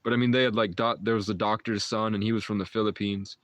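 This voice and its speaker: A man's voice: on the low side, little pitch movement, quick pace, fairly bright tone, no echo, muffled recording. A man in his twenties, a livestream host, chatting and rambling with viewers in a meandering, mystical way, full of restarts and short chuckles. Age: 20s.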